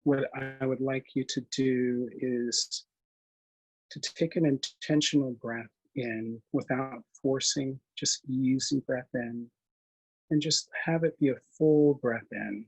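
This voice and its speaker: A man leading a guided meditation in a gentle, unhurried manner, leaving long pauses between short phrases. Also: mid-range voice, steady tone, breathy edge, rough recording. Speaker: meditation instructor.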